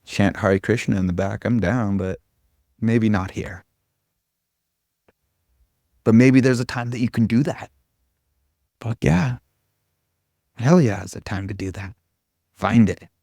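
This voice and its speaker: A man's voice: lowish voice, slow pace, gravelly, long pauses, darkish tone, no room sound, clean studio sound. A man giving an informal spiritual talk to a group as a meditation teacher, rambling and irreverent, with casual, self-deprecating humor. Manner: irreverent, casual, frequent swearing.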